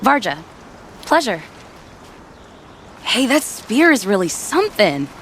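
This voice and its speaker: A woman's voice: mid-range voice, very animated, slow, slight background noise. A woman playing a cheerful, eager young weapons tinkerer in a video game, chatty and playful. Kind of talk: video game dramatic acting.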